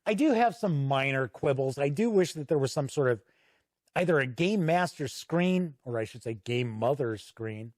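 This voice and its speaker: A man's voice: mid-range voice, expressive, normal speed, dry recording, clean studio sound. A man hosting a casual, unscripted video review, speaking conversationally and leaning on key words for emphasis. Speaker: tabletop game reviewer and channel host.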